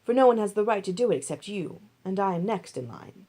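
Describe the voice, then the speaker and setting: A woman's voice: mid-range voice, lively intonation, brisk, warm tone, natural voice. A woman voicing an earnest, decisive male landowner in a Bible storybook, speaking formally and declaratively. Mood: businesslike.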